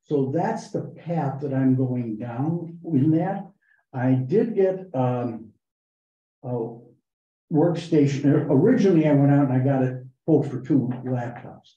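A man reporting to a town board meeting, talking in a halting way with many fillers and restarts. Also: medium pitch. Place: town hall meeting room. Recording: echoey, muffled meeting room recording.

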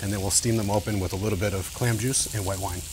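Chef in his thirties from Maine, quick deep delivery, talking through a recipe over steady background noise. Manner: explains each step as he cooks. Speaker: guest chef. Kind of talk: cooking demonstration chat. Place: outdoor festival tent kitchen.

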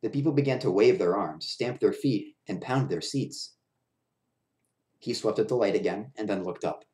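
A man's voice: on the low side, moderate pace, a little mellow. A man in his thirties reading a children's story aloud in a small room at home. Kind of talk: children's picture-book read-aloud. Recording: roomy echo of a bare room.

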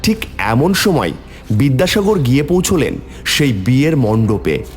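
A man giving expressive scripted narration for a cartoon story. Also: mid-range voice, a little slow, in a large hall. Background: faint music.

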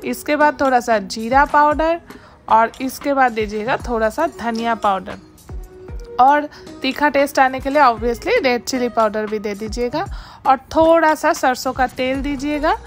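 A woman hosting her home cooking channel, giving steady step-by-step instructions in a voice-over and mixing English words into her speech. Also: high-pitched, unhurried, faint music behind.

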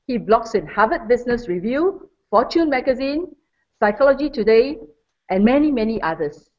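A woman with a fairly high, lively voice introduces a guest in a formal manner. Her tone is mellow, and the sound comes through dull and lo-fi, as from a webinar line. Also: moderate pace. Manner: warm, formal, reads credentials. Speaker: webinar moderator.

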